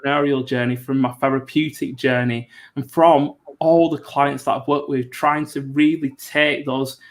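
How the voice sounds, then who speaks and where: A male host asks long, winding questions, dotting them with filler phrases. His pitch sits in the middle and barely moves, giving an even, level delivery. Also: moderate pace. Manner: rambling, many "you know" fillers. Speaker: show host. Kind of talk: interview questions.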